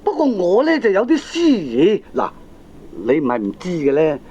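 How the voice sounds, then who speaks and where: A man's voice: high voice, wildly swooping pitch, moderate pace, mellow, breathy edge, exaggerated voice. An actor playing a foolish, money-grubbing middle-aged father and gambler in a Cantonese comedy radio drama, with a Hong Kong accent and exclamatory, wheedling, argumentative speech. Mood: exasperated, teasing. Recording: dull lo-fi old cassette tape.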